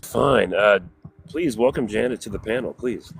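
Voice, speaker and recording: A man speaking as a guest on a livestream, thoughtful and halting, stopping often to rephrase. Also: mid-range voice, creaky, slight background noise. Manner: thoughtful, halting, many "you know" fillers. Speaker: guest panelist. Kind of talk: reflective casual talk.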